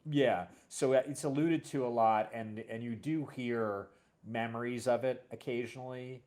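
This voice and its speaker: A man, a show host and writer, chatting casually in a halting way, repeating words and often adding "you know". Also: mid-range voice, fairly even intonation, darkish tone. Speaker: series creator and show host. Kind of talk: casual conversation about a fiction series.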